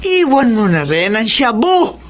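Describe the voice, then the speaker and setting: Pleading, expressive old grandmother. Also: fairly high pitch, a little slow, a crowd in the background. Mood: anxious, pleading. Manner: earnest, pleading, admonishing. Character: worried, devout old grandmother, pleading and caring. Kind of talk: Tagalog radio drama acting.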